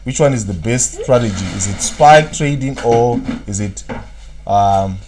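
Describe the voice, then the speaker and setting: A man's voice: medium pitch, a little slow, a little mellow. A man from Zimbabwe with a Zimbabwean accent, hosting a live trading analysis stream, rambling and tagging sentences with "what, what" while he answers viewers' chat. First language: Shona. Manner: rambling, fills gaps with "what, what".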